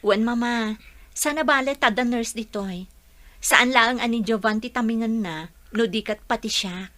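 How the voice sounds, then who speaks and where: A woman playing a sweet, polite pregnant young wife in an Ilocano radio drama, with an expressive delivery. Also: on the high side, moderate pace, lightly acted. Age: young adult.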